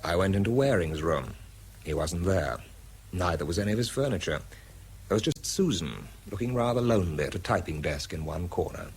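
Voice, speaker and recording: A very low-voiced man, a weary, sardonic scientist narrating a radio drama. His delivery is dry and understated, over clear background noise. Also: expressive, brisk. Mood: dry, reflective. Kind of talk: first-person radio drama narration and dialogue.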